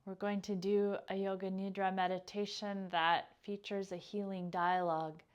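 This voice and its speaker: A woman leading a guided meditation as a yoga teacher, speaking gently and without hurry, her phrases trailing off softly. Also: mid-range voice, level tone, moderate pace, clean tone, highs cut off.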